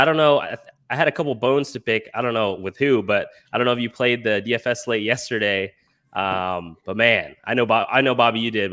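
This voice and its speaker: A man's voice: fairly low pitch, a bit bright. A congested man with a nasal sound, giving rambling, frustrated sports fantasy analysis. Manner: rambling, frustrated, filler-heavy. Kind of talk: casual analysis chat.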